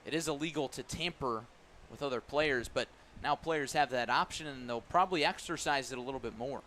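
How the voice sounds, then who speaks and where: A man's voice: medium pitch, brisk, bright, forceful tone. A man doing live play-by-play radio commentary of a baseball game. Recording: live ballpark broadcast with background noise.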